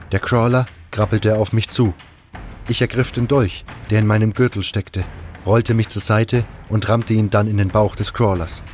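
A man's voice: fairly low pitch, a little mellow. A man narrating a scripted first-person fantasy audio drama.

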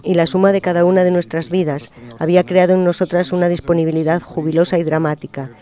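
A man on a radio program, reading a personal letter aloud in a slow, intimate, reflective way. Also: high voice, flat delivery. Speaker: radio presenter. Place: radio studio. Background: soft guitar music.

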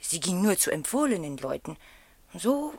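An actress playing a reserved, thoughtful woman, measured and gently sceptical, in a German radio play. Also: on the low side, very animated, slightly rough, exaggerated voice. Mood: confiding, wistful. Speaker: radio drama actor.

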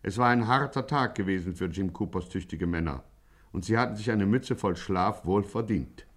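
Wry storyteller for a male actor, low register, scripted and drily ironic, 1950s radio play narration. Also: moderate pace, lightly acted. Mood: dryly ironic.

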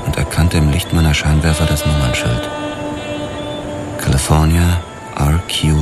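An actor playing a brooding police detective who narrates his case in a measured, world-weary way in a radio drama. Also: rumbling low, level tone. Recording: loud music under the voice.

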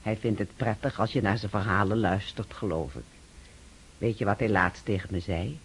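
A man's voice: fairly low pitch, moderate pace, lightly acted. A man voicing Katiche, an older noblewoman who nurses Pierre and confides gossip, in a radio play. Faint hiss. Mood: confiding.

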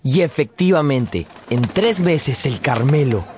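A man acting as a grown son who narrates his family's story in measured, literary radio style. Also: medium pitch, lively intonation, a little mellow, a little hiss or hum. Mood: storytelling. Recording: music under narration.

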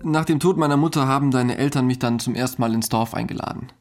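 Male actor for foreign husband in China, documentary radio play. Earnest, likeable, halting conversational delivery, medium pitch. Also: darkish tone, soft background music, lightly acted. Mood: animated recollection. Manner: hesitant, searching for words.

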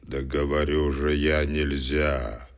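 A nosy, immovable doorman in a period radio drama. His voice sits remarkably low and he drags his words out slowly, with a folksy, oath-peppered way of talking. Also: flat delivery, full character voice. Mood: stubborn refusal. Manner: slow folksy talk peppered with oaths. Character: stubborn, gossipy servant doorman in old Petersburg. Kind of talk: Russian radio drama acting.

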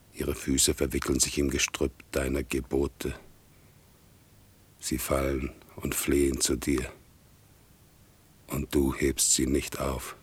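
Very deep, broken, defiant sufferer. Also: male voice, slightly rough, heavily acted. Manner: slow, halting, bitterly accusing. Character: sick, despairing, defiant man raging against God. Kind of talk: radio play acting, solemn monologue. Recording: 1960s radio drama production.

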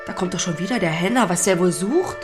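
A woman's voice: lowish voice, fast talker, music in the background, natural voice. An actress playing a hardy, lonely farm woman in a German audio drama, blunt and plain-spoken. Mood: bitter, reflective.

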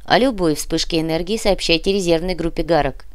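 A woman voicing a cool, authoritative male commissioner in a fantasy audiobook, giving measured, decisive orders. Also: fairly low pitch, clean tone, no echo, natural voice. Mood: decisive, composed. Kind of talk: audiobook character acting.

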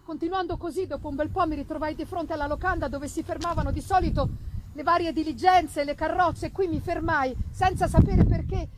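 A woman gives a dramatic, theatrical reading of a Gothic novel, with deliberate phrasing and strong emphasis. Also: very high-pitched, steady tone, background noise. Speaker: reader performing a literary text.

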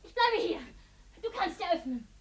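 Therese, strained high-voiced woman. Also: big pitch swings, normal speed. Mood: tense. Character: Therese, strained, guarded young wife. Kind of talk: radio play acting.

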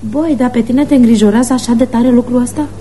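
A woman's voice: fairly high pitch, mellow, a little hiss or hum, natural voice. An actress playing a playful, frank, impulsive schoolgirl of almost eighteen, in love, in a girlish, teasing radio theatre style. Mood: tender, frank.

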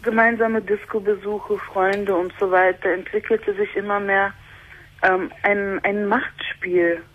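A woman's voice: medium pitch, flat delivery, a little slow. Young woman calling in over a phone line. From Germany. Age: 20s. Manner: hesitant, many fillers, earnest. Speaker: caller. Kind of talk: personal call-in conversation. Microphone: telephone.

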